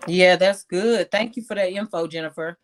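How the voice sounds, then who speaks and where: A woman's voice: fairly low pitch, moderate pace. A woman hosting an online group discussion, talking frankly and folksily and addressing the listeners as ladies and sis. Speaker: discussion host. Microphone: online call mic.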